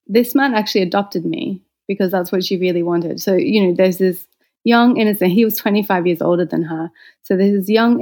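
Female interview guest, slightly low voice, candid and reflective personal storytelling in a conversational style. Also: darkish tone. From born in Austria, moved to Australia as a child.

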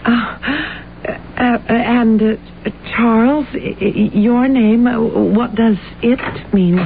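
A woman's voice: on the high side, slow pace, creaky. An actress playing a genteel young woman, hesitant, admiring and easily flustered, in old-time radio drama style. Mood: shy, flustered. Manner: hesitant, stammering, breathless politeness. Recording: tinny, faintly noisy vintage radio recording.

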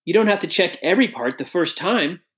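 A man's voice: sits high, expressive, fairly quick, a bit bright, voice pushed up. A man voicing a confident, eager young pilot who talks in an upbeat way.

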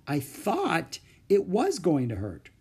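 Male reader doing a scared storybook boy, pitched up, hesitant. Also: huge pitch swings, slow pace, a little mellow, slightly rough, heavily acted. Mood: timid. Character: timid, frightened young boy.

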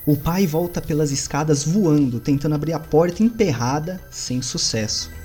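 A man narrating an audio drama and hosting a tabletop game, telling the story in a deliberate, dramatic way that slows for suspense. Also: fairly high pitch, darkish tone, slightly rough. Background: faint music.

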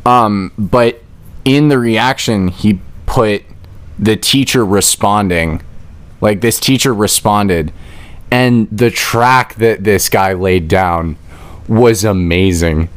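A man's voice: on the low side, slow pace, clear, resonant tone. A man chatting casually as a podcast guest, slangy and playful in his delivery. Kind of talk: casual chat.

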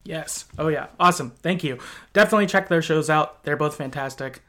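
A man with a voice a little on the high side hosts a podcast. He speaks casually, in an agreeable, affirming manner. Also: fairly quick, pushed and bright. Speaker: podcast host.

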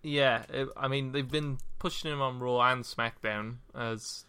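A young man with a Welsh accent chats casually as a podcast co-host. He talks slowly, in a halting, stop-start way, and his voice has a bright, forceful edge. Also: mid-range voice, steady tone, smooth. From Wales. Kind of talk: casual chat.

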